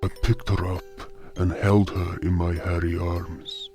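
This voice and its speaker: A man's voice: low voice, little pitch movement, unhurried, gravelly, a little mellow. A man reading scripted first-person fantasy narration as a storyteller. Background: music.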